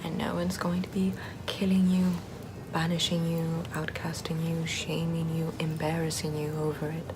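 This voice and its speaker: A woman's voice: low-pitched, monotone, moderate pace, noisy background, close to an everyday voice. A woman playing a chill, sardonic Puritan-era Salem witch in a whispery, casual ASMR roleplay. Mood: reassuring, easygoing. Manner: hushed, often whispering, wry and casual.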